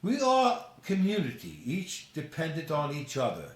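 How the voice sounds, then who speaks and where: A man's voice: on the high side, wildly swooping pitch, a little slow, echoey room. A man reading his own short story aloud as scripted narration.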